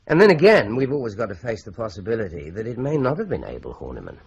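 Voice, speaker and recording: An actor playing a shrewd, probing police investigator in a radio drama, measured and dry in manner. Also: male voice, on the low side, wildly swooping pitch, darkish tone, a little hiss or hum. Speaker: police detective investigating a murder. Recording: dull lo-fi radio recording.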